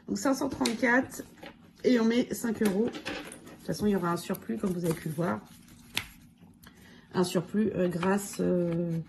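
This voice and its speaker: A woman with a cold and a hoarse voice, casually going through her budget challenges and counting money aloud with lots of fillers. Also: mid-range voice, dark tone, slight background noise. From France. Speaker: budget vlogger. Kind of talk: casual budget review talk.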